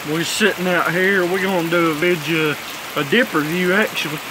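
Guy in his 20s, kinda high voice, loose cussing drawl, phone on a kickstand out on the porch. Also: steady tone, normal speed, background noise. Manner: laid-back drawl, frequent cursing. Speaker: vlogger reviewing dip tobacco. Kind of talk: casual rambling vlog chat. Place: covered porch outdoors in heavy rain. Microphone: phone camera on kickstand. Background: rain falling.

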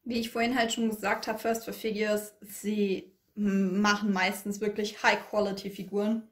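A woman's voice: mid-range voice, level tone, moderate pace. A woman co-hosting an unboxing in casual review chat, recorded in a roomy, echoing space.

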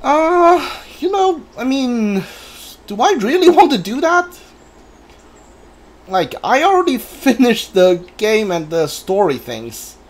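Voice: medium pitch, big pitch swings, slow. A video game streamer giving casual, hesitant commentary while thinking aloud.

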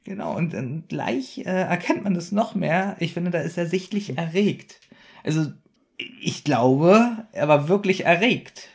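A man's voice: a little high, lively intonation, creaky. A man co-hosting a podcast, chatting casually about a radio play, often laughing and stumbling over his words. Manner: giggly, halting with fillers. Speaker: podcast co-host.

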